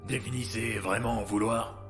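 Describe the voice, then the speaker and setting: A man's voice: fairly low pitch, fairly even intonation, moderate pace, reverberant, music in the background, natural voice. A man playing a blunt, worn-out, foul-mouthed doctor with superpowers in an audio drama. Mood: sardonic, fed up. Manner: blunt, sardonic, crude slang. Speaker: voice actor.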